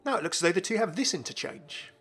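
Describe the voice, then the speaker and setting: A man's voice: sits high, big pitch swings, fast talker, creaky, a bit bright, breathy edge, soft background music. A man hosting a gaming channel, giving chatty, enthusiastic, informal commentary on a video game.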